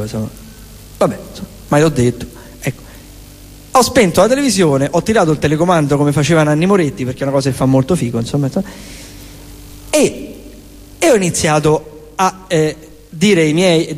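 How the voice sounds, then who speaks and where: A man's voice: on the high side, long reverb tail. A man with a Roman accent performing colloquial theatrical storytelling, repeating phrases rhythmically. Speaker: storyteller and singer-songwriter performing theatre and music. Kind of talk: theatrical storytelling and spoken song over guitar. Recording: loud guitar music under the voice. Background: acoustic guitar.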